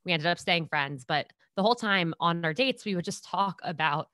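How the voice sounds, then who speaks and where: A woman's voice: fairly low pitch, animated, moderate pace, fairly bright tone, dry recording, clean studio sound. A woman in her twenties from New York, a podcast guest telling stories in casual chat and acting out what people said. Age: 20s. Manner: bubbly storyteller, acts out quotes.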